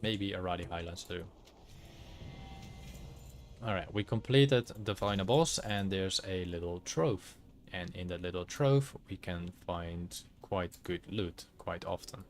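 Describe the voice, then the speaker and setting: A man with a Dutch accent narrates his gameplay at a relaxed pace, often pausing to search for words. His voice is low. A faint hiss sits behind it. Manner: halting, many fillers, searches for words. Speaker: streamer. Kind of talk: casual gameplay commentary.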